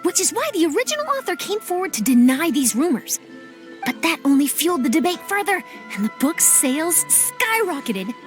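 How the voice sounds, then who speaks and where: Eager young journalist. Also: female voice, extremely high pitch, very animated, brisk. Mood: chatty. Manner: eager, chatty, flustered at times. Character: young woman journalist, curious and talkative. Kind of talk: game cutscene voice acting. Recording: game audio with music behind.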